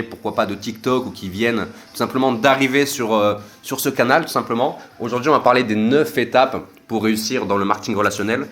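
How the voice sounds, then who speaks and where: A man's voice: medium pitch, a bit bright. A young man hosting a casual solo podcast, rambling with many fillers and restarts. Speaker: podcast host.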